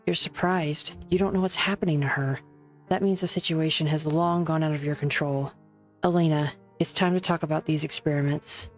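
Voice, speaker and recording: A woman's voice: low-pitched, fairly even intonation, moderate pace, lightly acted. A woman voicing a stern, protective, skeptical doctor in a game drama fan dub, frank and pressing. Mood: accusing. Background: background music.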